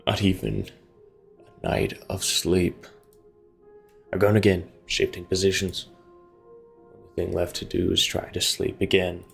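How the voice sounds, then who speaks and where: A man with a very low voice hosts a gaming video over faint background music. He reads story narration aloud and drops in casual commentary of his own. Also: big pitch swings, unhurried, gravelly, pauses often.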